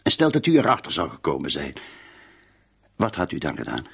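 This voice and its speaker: Probing, husky police inspector. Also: male voice, rumbling low, huge pitch swings, fairly quick, fairly bright tone, full character voice. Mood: gently insistent. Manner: hesitant, repeated ja-ja interjections, tactful probing. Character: probing, patient male police inspector, gently insistent. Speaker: actor in a radio play. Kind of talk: radio drama acting, questioning dialogue.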